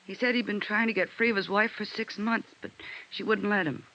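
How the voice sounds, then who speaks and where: A woman's voice: medium pitch, fairly even intonation, brisk, pushed and bright. An actress playing a scared young nightclub showgirl, by turns defiant and pleading, in 1950s radio drama style. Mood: scared, defensive. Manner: urgent, pleading. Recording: dull vintage radio recording.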